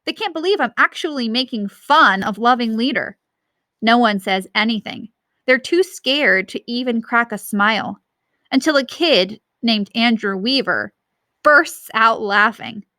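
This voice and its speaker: A woman reading a children's book aloud as a teacher would, in expressive narration. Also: fairly high pitch, measured pace, dry recording, studio quality.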